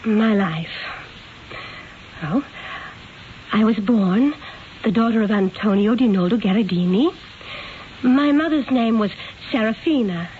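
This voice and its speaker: An actress playing a plain-spoken, puzzled merchant's wife in a radio drama, questioning and unassuming. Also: female voice, a little high, lively intonation, a little slow, long pauses. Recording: tinny, narrow vintage radio recording, faint noise.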